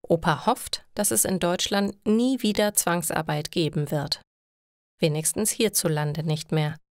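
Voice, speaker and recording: A woman's voice: lowish voice, measured pace, dry recording. Woman narrator reading a learner's story, dry sound. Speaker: narrator of German learning stories.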